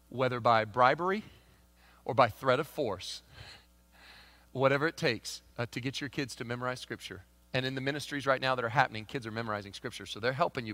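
A man with a Kentucky accent preaching a sermon as pastor, swinging from loud emphatic proclamation to soft conversational asides. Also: mid-range voice. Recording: long hall reverb.

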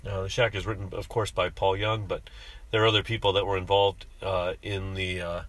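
Male podcast host, very low voice, stuffy-nosed, rambling aloud from behind the wheel. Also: flat delivery, fairly bright tone. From living in Japan. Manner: halting, many "uh" fillers and restarts. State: congested from allergies. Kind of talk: off-the-cuff monologue while driving. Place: in a car.

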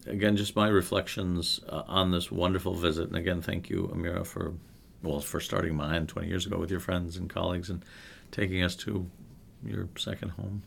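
Middle-aged man, low pitch, gravelly, anecdotal. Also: level tone, a little fast, hardly any pauses, slight background noise. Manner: anecdotal, thoughtful. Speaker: researcher. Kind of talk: panel discussion.

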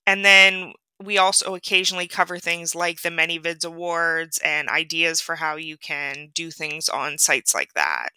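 Very bright, crisp-voiced woman guest. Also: low voice, moderate pace, few pauses, dry recording, low-quality recording. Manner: earnest and self-deprecating. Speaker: coaching site co-founder guest. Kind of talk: casual interview chat.

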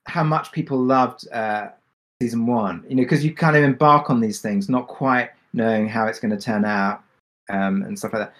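A man with a middle-pitched voice speaks as a creator on a Q&A panel. His delivery is casual and reflective, feeling its way through each thought in a tentative manner. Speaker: podcast drama creator on a Q&A panel.

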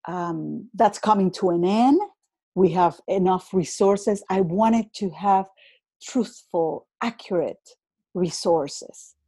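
A woman with a Venezuelan accent, speaking English as her second language, talks slowly and thoughtfully as a guest coach on a podcast. Her voice is low-pitched, mellow and a little husky. The sound is dry and close. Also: no room sound. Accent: Venezuelan Spanish. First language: Spanish. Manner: slow, reflective, with many fillers and repeats. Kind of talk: casual interview conversation.

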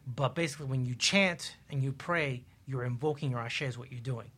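Husky-voiced man explaining on a panel. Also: mid-range voice, fairly quick, fairly bright tone. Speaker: panelist on a discussion show.

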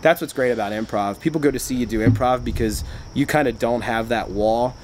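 A chatty man who works a bar talks loosely and at length, often restarting his sentences. His voice sits at an ordinary middle pitch. Faint insect chirps hum in the background. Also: a little fast. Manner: rambling, chatty, with frequent restarts. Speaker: bar worker. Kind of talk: casual chat.

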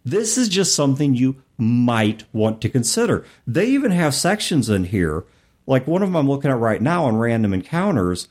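A man's voice: medium pitch, very animated, normal speed, a little mellow. A middle-aged man hosting a podcast, talking conversationally and emphatically, often listing words one by one for effect. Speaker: podcast host. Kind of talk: conversational commentary on tabletop gaming.

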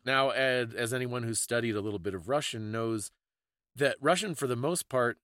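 A man's voice: mid-range voice, normal speed, a bit bright, no echo, faint music behind. A man hosting a conversational talk, explaining things in an informative, scholarly way. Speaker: podcast host explaining folklore.

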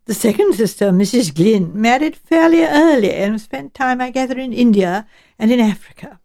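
A woman voicing a courteous, frank male English professor in an audiobook, speaking in a measured and direct way. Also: fairly high pitch, animated, dark tone. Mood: measured, informative.

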